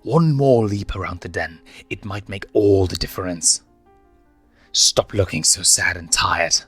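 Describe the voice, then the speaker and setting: A man's voice: deep voice, a little slow, a bit bright, slightly rough, soft background music, heavily acted. A man voicing a ruthless, scheming lioness mother who speaks in commanding, emphatic speeches in a story reading. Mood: stern.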